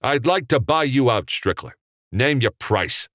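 A man voicing a short, fiery, indignant film director in an audiobook, gruff, snappy and sarcastic. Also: medium pitch, sing-song, moderate pace, fairly bright tone, dry recording, clean studio sound, voice pushed up. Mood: challenging.

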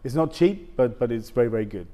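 Halting middle-aged man telling his story. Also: mid-range voice, animated, mellow. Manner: halting, with many restarts and "basically". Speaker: interview guest. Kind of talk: personal story in casual conversation.

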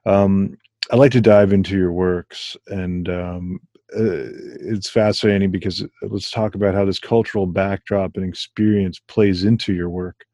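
Host: low, dark-toned man, measured and hesitant, dry recording. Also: fairly even intonation, no echo. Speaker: interviewer. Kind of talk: interview questions.

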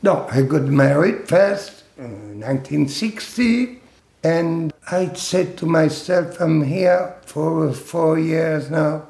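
Older man, slow and gravelly. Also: a little high, a little mellow, echoey room. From Italy. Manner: slow, halting storytelling with fillers and laughs. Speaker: interviewee. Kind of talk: oral history interview.

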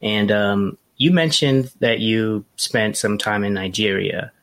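A man hosting a podcast interview, speaking in a relaxed, conversational way with frequent fillers. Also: fairly low pitch, slow pace. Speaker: podcast host.

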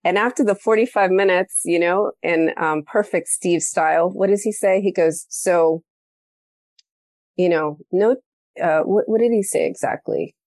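A woman hosting a podcast, telling a story in a casual, animated way and acting out the quoted lines. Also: lowish voice, measured pace, no echo, clean studio sound. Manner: animated storytelling, acts out quoted dialogue, laughs often. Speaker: podcast host. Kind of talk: casual conversational interview.

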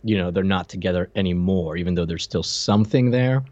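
A man's voice: low voice, brisk, darkish tone. A man co-hosting a podcast, offering casual, conversational and opinionated commentary. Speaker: podcast co-host. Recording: slightly dull, lo-fi microphone sound.

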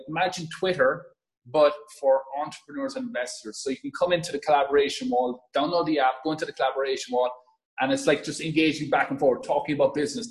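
Enthusiastic Irish man pitching fast. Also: low-quality recording. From Ireland. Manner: quick, enthusiastic pitch with many restarts and fillers. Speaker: podcast guest, app founder. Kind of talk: casual interview talk.

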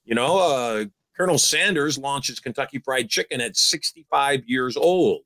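A man's voice: medium pitch, animated, moderate pace, a bit bright, no echo. A man in his sixties giving upbeat, emphatic advice as a show host. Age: 60s. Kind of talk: motivational call-in advice.

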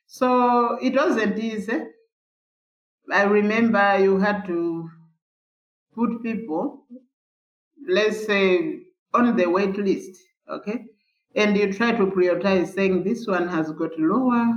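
A woman working as a nurse, speaking in an earnest, halting way with frequent restarts. Her voice sits in a middle register. It comes through an echoey room on a dull, muffled recording. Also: medium pitch. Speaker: palliative care nurse, interviewee. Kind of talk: candid interview recollection.

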